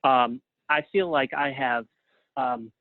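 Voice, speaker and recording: A man's voice: mid-range voice, slow pace, no echo. A middle-aged man speaks as a guest in a casual interview. His voice comes through a thin, phone-like connection with very little room sound. He tells things modestly, poking fun at himself. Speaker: guest playwright. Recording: narrow phone-like line.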